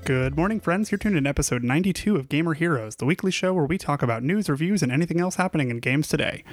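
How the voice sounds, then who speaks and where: A man's voice: fairly high pitch, animated, fast talker, soft background music. A young man hosting a podcast on a studio microphone, loose and joking, swearing freely. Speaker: podcast host. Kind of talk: casual chat.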